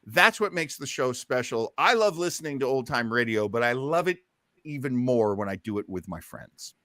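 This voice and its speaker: Animated, bright-voiced male host. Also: mid-range voice, moderate pace, dry recording, clean studio sound. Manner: jokey, animated. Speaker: host of an online listening party. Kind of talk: casual live chat hosting.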